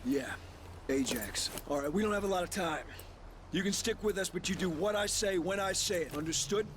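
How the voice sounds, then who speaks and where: Higher-voiced brusque special forces leader. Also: male voice, expressive, moderate pace, noisy background. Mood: commanding. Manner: brusque, authoritative. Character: curt, commanding male special forces leader. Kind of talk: military game cutscene dialogue.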